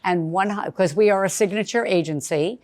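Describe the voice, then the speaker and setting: A woman's voice: mid-range voice, brisk, a little mellow. A woman travel agent hosting a live event, chatty and bubbly, with a big cheerleader voice. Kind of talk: casual event presentation. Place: bistro event space.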